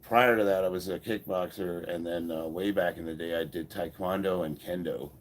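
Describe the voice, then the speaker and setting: A deep-voiced American man in his fifties talks casually to his livestream viewers. He sounds stuffed up from a head cold, and he answers comments bluntly, with swearing and easy laughter. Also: steady tone, moderate pace. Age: 50s. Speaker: livestream host and powerlifter.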